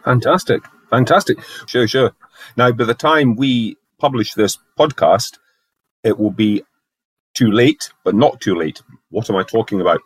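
A man hosting a podcast interview, asking questions in a brisk, affirming way. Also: mid-range voice, slightly rough, dry recording. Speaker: podcast host.

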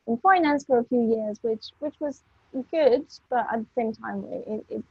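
Husky, gravelly woman. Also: on the high side, normal speed, no room sound, muffled recording. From Ukraine. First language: Russian. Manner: thoughtful, hesitant with many ums. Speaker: podcast guest. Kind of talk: casual interview chat.

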